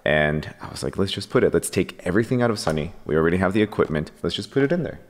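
A man's voice: low-pitched, rapid-fire, big-room sound. A man who founded a coffee company, a podcast guest who recounts events by acting out what he said back then, at a studio microphone. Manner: animated, acts out his own past lines. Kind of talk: casual podcast conversation. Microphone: boom-arm studio microphone.

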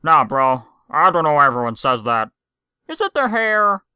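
A woman plays a scheming cartoon henchman with a low, bright voice. He narrates with grand drama, swinging widely in tone, and drops into dude-bro slang. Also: big pitch swings, normal speed, smooth, no echo, studio quality, exaggerated voice. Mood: smug. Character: theatrical male villain handyman with surfer-bro slang. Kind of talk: fan cartoon voice acting.

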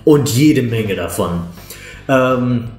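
Man, thirties, conversational reviewer, mid-pitched voice, echoey living room. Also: animated, drawn-out words, darkish tone. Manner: conversational, opinionated. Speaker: film reviewer. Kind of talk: casual spoken review to camera. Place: small living room, on a sofa. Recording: roomy indoor echo, music behind.

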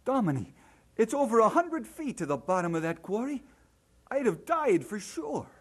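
A man's voice: high voice, sing-song, normal speed, husky, full character voice. An actor playing an earnest, hesitant young Scottish man in an audio drama.

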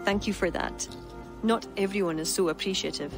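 An actress with an Irish accent playing a devout, steadfast young pagan court poet in a dramatic game cutscene. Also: female voice, medium pitch, moderate pace, close to an everyday voice. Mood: solemn conviction. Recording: loud background music under dialogue.

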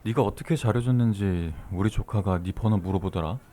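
A man voicing a gruff, fatherly middle-aged department boss in a webtoon audio drama. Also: fairly low pitch, a little fast, warm tone, natural voice. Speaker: voice actor. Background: background music.

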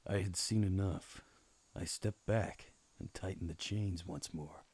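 A man playing a world-weary, wry private detective, deadpan, narrating a noir radio drama. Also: deep voice, measured pace, a little hiss or hum. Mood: brooding, wry. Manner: deadpan, hardboiled. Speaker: narrator and lead actor.

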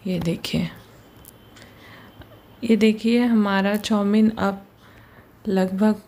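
A woman's voice: mid-range voice, steady tone, warm tone. A woman hosting a home cooking channel, patiently narrating each step of a recipe and often pointing things out to the viewer. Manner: slow, patient, repeats "ye dekhiye". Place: home kitchen.

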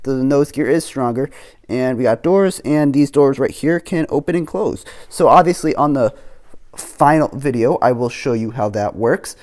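A man's voice: medium pitch, moderate pace. A man casually narrating a show-and-tell of his building project at home, talking things through with fillers as he goes. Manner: relaxed, thinking aloud with fillers. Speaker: hobby model builder. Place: home room with model on table.

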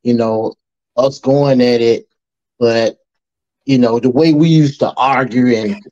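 Relaxed man on muffled call audio. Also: medium pitch, slow pace. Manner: relaxed, easygoing, many fillers. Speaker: guest, old friend. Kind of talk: casual video call chat. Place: home room, indoors.

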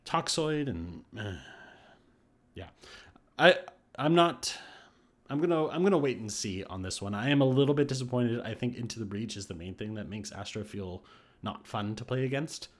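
Man, gaming commentator, casual, hesitant restarts, mid-pitched voice. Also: animated, normal speed. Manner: thinks aloud with restarts and hesitations. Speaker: gaming YouTuber. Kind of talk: casual commentary on game patch notes. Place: voice-over on screen capture.

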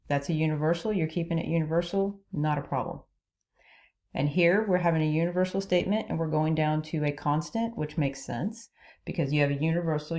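A woman's voice: deep voice, dark tone. A woman teaching a logic lesson as a voice-over on slides, explaining each rule step by step and checking in with "okay?". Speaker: logic instructor. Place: voice-over on screen recording.

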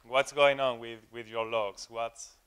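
Young male tech speaker, clear smooth voice, halting delivery full of "um"s, live conference room. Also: mid-range voice, little pitch movement, a bit bright. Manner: hesitant, many fillers and repeated words. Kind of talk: technical conference talk.

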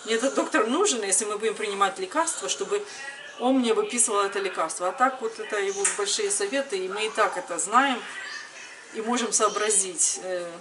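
A woman's voice: mid-range voice, brisk. Woman in her 30s just chatting to her camera at home, kinda far from the mic, noisy room. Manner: conversational, retells dialogues in everyday speech. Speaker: vlogger, mother. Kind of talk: casual chat to camera. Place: home kitchen, later another room indoors. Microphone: camera a little distance away.

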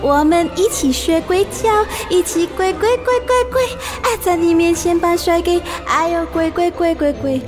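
A woman's voice: very high-pitched, normal speed, few pauses, music in the background. A woman playing a coquettish, whiny young ghost who loves to sing, in a comic radio drama. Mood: cutesy, performing. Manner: cutesy, whining.

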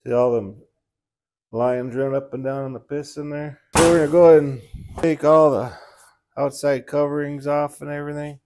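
A middle-aged man with a slightly high voice talks through an engine repair in his workshop. His shop talk is folksy and plainspoken, and it is picked up by the camera's own mic. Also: steady tone, moderate pace, a little mellow. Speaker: small engine repair mechanic. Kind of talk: casual hands-on repair walkthrough.

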